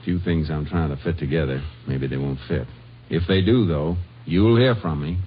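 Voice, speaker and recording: Very deep-voiced, laconic Texas Ranger. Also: male voice, soft background music. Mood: thoughtful. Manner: laconic, measured. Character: steady, thoughtful Texas Ranger investigator and narrator. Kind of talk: old-time radio drama acting and narration. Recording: dull vintage radio recording.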